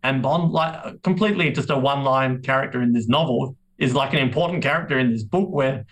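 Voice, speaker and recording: A man talks casually and with strong opinions on a podcast. His voice sits at an average pitch. He makes his points emphatically, in stop-and-start bursts. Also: brisk, a bit bright. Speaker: podcast co-host.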